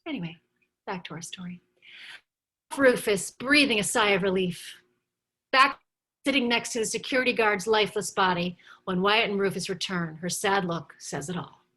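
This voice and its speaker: Woman narrator, bright crisp tone, medium pitch, scripted stage directions, animated storytelling, table read. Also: moderate pace.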